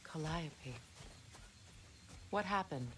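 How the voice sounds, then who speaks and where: A woman's voice: sits low, lively intonation, extremely slow, background noise, heavily acted. A woman playing a proud, grieving, vengeful goddess in a video game, with a guarded, cutting delivery. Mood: subdued. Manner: guarded, cutting, dryly sardonic. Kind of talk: cinematic video game acting.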